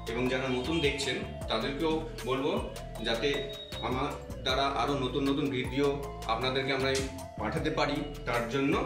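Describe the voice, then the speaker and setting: A man giving an informal physiotherapy tutorial, explaining step by step and often repeating himself. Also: medium pitch. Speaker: physiotherapy instructor presenting a tutorial. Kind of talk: informal demonstration lesson to camera. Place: echoey indoor room. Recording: very echoey, distant microphone, music behind. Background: music.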